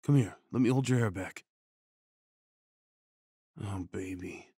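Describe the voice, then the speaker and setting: A man plays a tender, worried boyfriend in an ASMR comfort roleplay. His voice is husky and hushed, and he takes his time with each soothing phrase. The recording is close and dry. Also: on the low side, slow, no room sound. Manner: hushed, soothing, breathy sighs and shushing. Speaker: ASMR audio roleplay performer.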